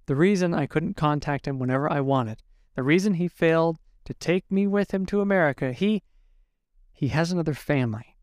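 A woman's voice: low-pitched, fairly quick, a little mellow, no echo, clean studio sound, close to an everyday voice. A woman with a Nigerian accent voicing a distressed, betrayed young wife, accusing and indignant, in a story reading. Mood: hurt.

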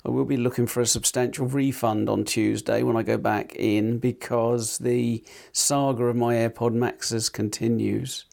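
A man's voice: medium pitch, level tone, a little slow, gravelly, hardly any pauses, darkish tone. A man co-hosting a casual tech podcast, rambling with many fillers and restarts while nursing a sore tongue. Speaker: podcast co-host.

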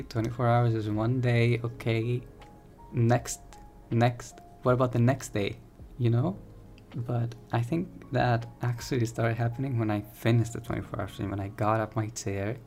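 A man's voice: medium pitch, mellow. A young man, a streamer, talking through a casual personal podcast in a soothing, rambling, candid way, often correcting himself mid-sentence. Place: home room.

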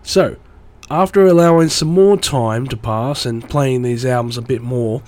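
A man's voice: medium pitch, measured pace, darkish tone. A man with a cold hosting his own music review podcast, talking in an enthusiastic, rambling way. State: has a cold. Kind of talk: solo podcast commentary.

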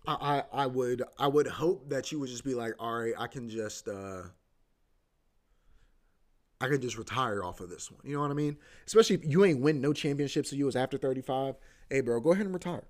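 A man's voice: mid-range voice, fairly quick. Laid-back slangy man in his twenties. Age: 20s. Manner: laid-back, slangy, rambling with many fillers. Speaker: podcast host. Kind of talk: casual solo podcast monologue.

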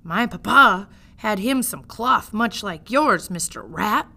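A woman reading a storybook as a gullible young serving girl with rustic phrasing and loud exclamations. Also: mid-range voice, expressive, slow, gravelly, heavily acted. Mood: impressed.

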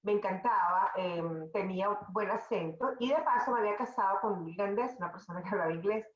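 A middle-aged Venezuelan woman, a podcast guest, telling her career story in a conversational, animated way with many restarts. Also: medium pitch, fairly quick, roomy sound, highs cut off. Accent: Venezuelan Spanish. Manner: animated, talks in restarts and asides.

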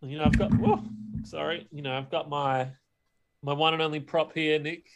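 Higher-voiced man chatting casually. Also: fairly even intonation, moderate pace. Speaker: podcast co-host. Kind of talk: casual movie and game chat.

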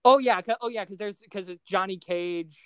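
A man's voice: high voice, normal speed, clear, resonant tone, no echo. A man co-hosting a podcast, chatting casually and enthusiastically about films in an informal, giggly way. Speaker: podcast co-host. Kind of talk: casual film discussion chat.

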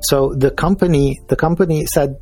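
Syrian man, dark husky voice. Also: a little high, steady tone, soft background music. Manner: halting, many restarts and fillers. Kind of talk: casual conversation.

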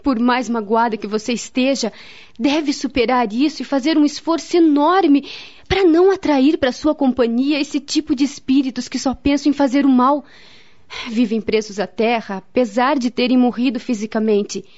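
Actress doing solemn devout brazilian woman, dramatic radio soap storytelling, fairly high voice, slightly muffled sound. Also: steady tone, moderate pace, darkish tone, natural voice. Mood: solemn, advising. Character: grave, devout woman friend, counselling and recalling family tragedy. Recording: dull, lo-fi radio drama recording.

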